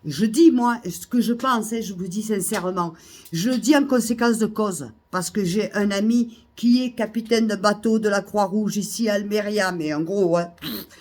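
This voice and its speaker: A woman with a head cold delivers an indignant monologue straight to her followers. She circles back over her points, stumbles into restarts, and her voice climbs into loud, exasperated outbursts. The pitch sits in a middle register. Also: normal speed. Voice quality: hesitant restarts and fillers. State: has a cold, coughing. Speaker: vlogger. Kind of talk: ranting monologue to camera. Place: Almeria, Spain.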